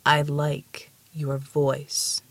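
Casting: female narrator as placid male stone giant, low rumbling voice, slow terse delivery, husky edge, audiobook fantasy. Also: completely flat delivery, no echo, exaggerated voice. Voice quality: deep, rumbling. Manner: terse, slow, halting speech.

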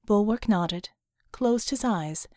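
A woman reading scripted fiction as an audiobook narrator, measured and dramatic in her storytelling. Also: mid-range voice, darkish tone, dry recording, studio quality.

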